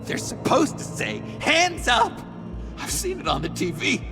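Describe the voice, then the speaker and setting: An actor playing an unstable, nervous young man in a video game, stammering and halting, paranoid and quick to swing into outbursts. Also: very high-pitched, wildly swooping pitch, over music, heavily acted. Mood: shaken, agitated. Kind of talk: dramatic video game acting.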